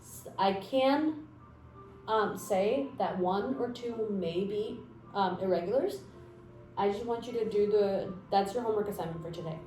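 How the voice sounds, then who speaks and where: A woman's voice: medium pitch, measured pace, mellow. Young woman, casual language lesson, chatty and encouraging, roomy echo, faint music behind. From Mexico. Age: 20s. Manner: chatty and encouraging, with fillers and asides. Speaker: language teacher.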